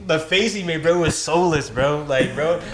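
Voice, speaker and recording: A young American man chatting casually on a podcast, slangy and animated, often repeating his phrases. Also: fairly high pitch, normal speed, background noise. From Detroit and Tennessee, United States. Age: young adult. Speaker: podcast guest. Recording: roomy, echoing sound.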